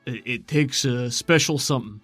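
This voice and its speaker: A man working as game master, narrating scenes in a measured, descriptive way. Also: medium pitch, fairly bright tone, no room sound, faint music behind. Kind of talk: narrating a tabletop roleplaying game.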